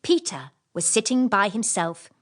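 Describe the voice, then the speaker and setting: A woman narrating a children's audiobook as scripted storytelling, in an expressive manner. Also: mid-range voice, a little slow.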